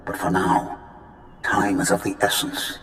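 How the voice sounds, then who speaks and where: A man voicing a patient, wise Jedi master spirit in a video game, measured, formal and reassuring. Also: fairly bright tone, reverberant, slight background noise, close to an everyday voice. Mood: reassuring, urgent.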